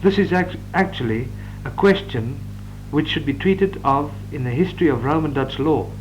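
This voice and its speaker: A man, reading out law course notes in a measured, dictating manner with occasional stumbles. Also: fairly high pitch, expressive, highs cut off, faint music behind. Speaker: law lecturer. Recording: old reel-to-reel tape with hum.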